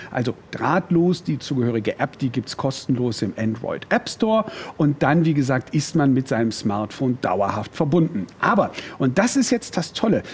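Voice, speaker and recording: A man's voice: fairly high pitch, a little mellow, husky. A middle-aged man presenting a product on shopping TV, enthusiastic and chatty, with playful asides. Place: TV studio.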